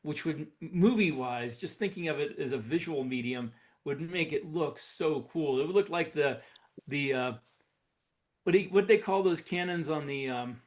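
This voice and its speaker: Male podcast co-host, slightly high pitch, enthusiastic explainer, informal conversation. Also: normal speed. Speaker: podcast co-host, science fiction author. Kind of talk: casual hobbyist chat about sci-fi weapons.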